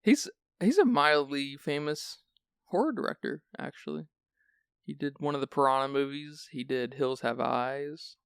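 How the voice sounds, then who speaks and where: Male podcast host: sleepy-sounding, gravelly, loose rambling delivery, dry close sound. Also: a little high, lively intonation, moderate pace, dry recording. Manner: chatty, rambling with restarts. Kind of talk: casual film discussion.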